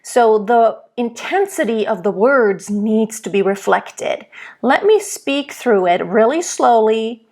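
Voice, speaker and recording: A woman from Germany, a vocal coach explaining diction in a slow, deliberate lesson. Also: fairly high pitch, darkish tone.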